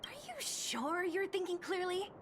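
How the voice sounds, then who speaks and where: High-voiced, blunt tough fighter. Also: female voice, unhurried, a little hiss or hum. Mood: alarmed, scolding. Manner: blunt, exasperated, scolding. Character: tough, blunt, easily exasperated young female underworld fighter. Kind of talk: video game voice acting. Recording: loud game music behind. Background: loud game music.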